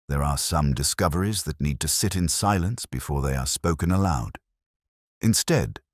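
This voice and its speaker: A man with an extremely deep voice narrates a detective story in a measured, reflective way. His pitch moves expressively through the literary phrasing, and the recording is dry and close, with very little room sound. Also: dry recording. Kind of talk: scripted first-person narration, literary detective audio drama.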